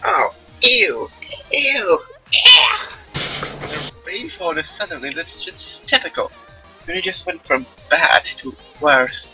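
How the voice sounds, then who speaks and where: A man voicing a prickly young warrior girl from a visual novel, huffy and exclaiming, with a husky voice. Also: high voice, sing-song, takes it slowly, sharp tone, faint music behind, voice pushed up, exaggerated voice, low-quality recording. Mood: disgusted, huffy.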